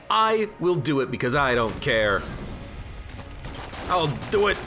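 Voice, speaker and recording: Man, game streamer, congested from allergies, rambling self-joking chat, lively swooping pitch, into his desk mic. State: stuffed up from allergies. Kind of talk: casual let's-play commentary. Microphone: own desk microphone. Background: game music and gunfire.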